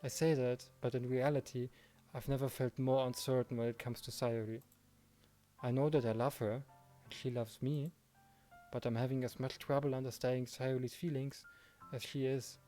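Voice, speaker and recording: A man's voice: medium pitch, flat delivery, moderate pace, warm tone, soft background music. A man narrating a visual novel aloud for a let's play, mixed with casual commentary.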